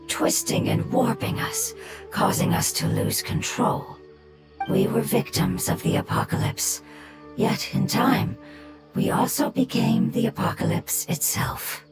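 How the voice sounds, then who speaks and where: Gentle ancient game creature: breathy, formal, sorrowful, with wide, expressive pitch swings. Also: female voice. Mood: solemn, sorrowful. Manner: solemn, measured, formal storytelling. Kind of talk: scripted video game dialogue. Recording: game audio under loud music.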